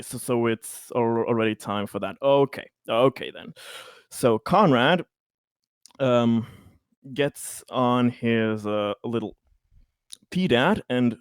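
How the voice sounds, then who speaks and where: A man with a medium-pitched voice improvises tabletop roleplay in a casual tone. He speaks haltingly, stammering and restarting as he narrates what his character does. The sound is dry and close. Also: clean tone, dry recording. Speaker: tabletop RPG player.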